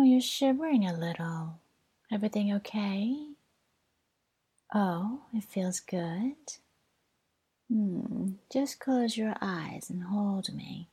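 A woman performing an intimate audio roleplay, speaking softly and tenderly, often in a whisper. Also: mid-range voice, breathy edge. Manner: soft, soothing, often whispered; tender, doting.

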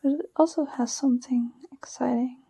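A young woman with a Dutch accent whispering softly and shyly into a close microphone, giggling often and hesitating with many fillers. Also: high-pitched, fairly even intonation, moderate pace, creaky, slightly rough, dry recording, rough recording. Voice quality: soft whisper. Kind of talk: whispered personal ramble, ASMR-like.

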